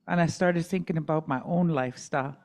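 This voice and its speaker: A woman's voice: low voice, brisk, darkish tone. Forthright middle-aged woman advocate. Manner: candid and forthright, with joking asides. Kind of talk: personal testimony at a community dialogue.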